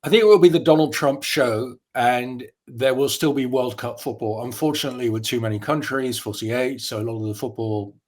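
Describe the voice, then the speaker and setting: A man's voice: medium pitch, fairly quick, hardly any pauses, darkish tone. Articulate journalist man, opinionated and reflective. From Netherlands. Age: 50s. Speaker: journalist guest. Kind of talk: conversational interview.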